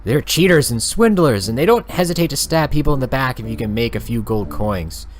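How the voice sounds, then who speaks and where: A man voices a scornful young nun from a visual novel, pitched somewhat up. The nun is haughty and scolding, quick to distrust. Music plays faintly behind the voice. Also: expressive, close to an everyday voice. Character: suspicious, disagreeable young nun, sharp-tongued and scornful.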